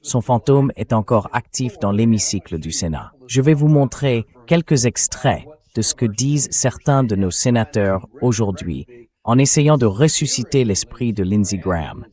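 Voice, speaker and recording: A man's voice: mid-range voice, animated, moderate pace, warm tone. A man hosting a political discussion, in a translated voice-over read by a synthetic voice. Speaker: host.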